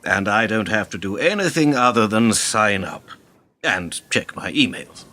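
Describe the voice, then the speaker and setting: Man playing a bright-voiced, smug political fixer. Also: on the low side, lively intonation, a little slow. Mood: smug, lecturing. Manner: pompous, wordy, condescending. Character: smug, cynical older male political fixer, mentoring. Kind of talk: radio play acting.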